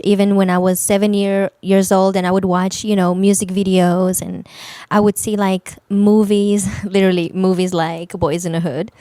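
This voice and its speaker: A woman's voice: medium pitch, warm tone, no echo, studio quality. A woman from Israel, a native Hebrew speaker, telling her own story casually as a podcast guest. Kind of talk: casual interview chat, personal storytelling.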